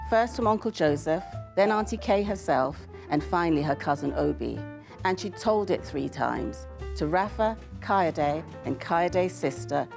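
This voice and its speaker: Woman author narrator: lowish, gravelly voice, measured expressive reading, music underneath. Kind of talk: scripted talk and audiobook-style reading.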